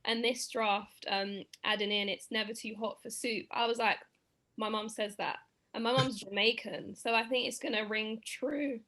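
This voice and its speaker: A woman's voice: fairly high pitch, steady tone, normal speed, a bit bright. A woman giving casual feedback as a participant in a script discussion.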